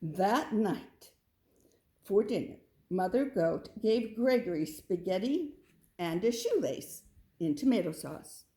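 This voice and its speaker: A woman's voice: medium pitch, big pitch swings, slow, dark tone. A woman narrating a children's story as a read-aloud. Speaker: storybook reader.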